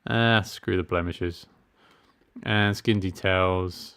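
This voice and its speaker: A man's voice: low-pitched, fairly even intonation, takes it slowly, a bit bright, slight background noise. A middle-aged man, chatting casually and self-mockingly while reading game menus aloud. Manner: chatty, self-deprecating, reads menu options aloud. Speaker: gaming streamer. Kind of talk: casual let's-play commentary.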